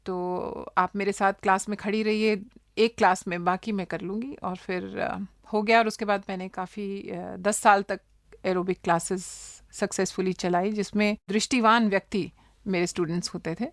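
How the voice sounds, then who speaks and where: A woman with an Indian accent giving conversational interview answers, measured and hesitant, mixing in English words. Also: mid-range voice, fairly even intonation, dry recording, studio quality. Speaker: interview guest, public relations officer.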